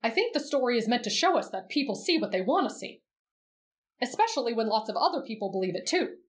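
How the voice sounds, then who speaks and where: A woman voicing a gentle teenage boy who reads in a soft, measured, expressive storybook way for roleplay ASMR. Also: a little high. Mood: gentle, caring.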